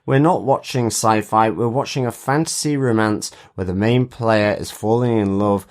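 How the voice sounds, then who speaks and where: A man's voice: lowish voice, normal speed. A man co-hosting a podcast, chatting agreeably and casually about TV and reading out listener feedback. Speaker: podcast co-host.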